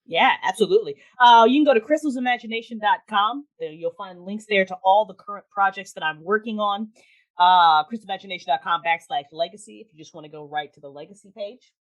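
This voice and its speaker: A woman with a medium-pitched voice speaks as a guest in a casual interview. She talks conversationally, in the manner of a creator pointing listeners to her own work. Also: rough recording. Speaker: guest, audio drama creator. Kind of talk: casual interview chat, plugging own projects.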